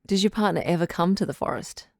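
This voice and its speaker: A woman playing a calm, firm relationship advice doctor hosting a radio call-in drama, measured and probing. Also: fairly low pitch, dry recording, clean studio sound, close to an everyday voice.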